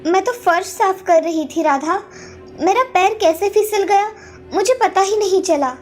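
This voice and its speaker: Very high-pitched meek young girl. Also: a little slow, darkish tone. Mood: meek, gentle. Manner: submissive, pleading. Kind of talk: Hindi moral-story cartoon acting. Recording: background music under the voice.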